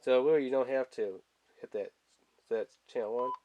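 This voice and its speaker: A man's voice: mid-range voice, normal speed, mellow, muffled recording, slight background noise. A man giving a casual, rambling product review in informal, colloquial language, speaking off screen indoors. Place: indoors at a tabletop.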